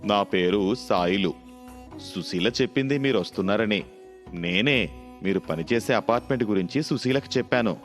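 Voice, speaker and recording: A man's voice: on the low side, moderate pace, in a large hall, soft background music, close to an everyday voice. A man playing a polite, helpful working man in a story cartoon, courteous and reassuring. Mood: obliging.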